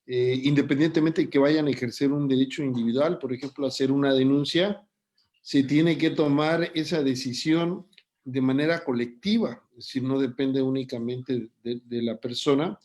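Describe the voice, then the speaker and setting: A man who hosts and moderates an online lecture, speaking in a measured, deliberate way. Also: medium pitch, steady tone, darkish tone. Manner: measured, deliberate, pauses with fillers. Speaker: host and moderator.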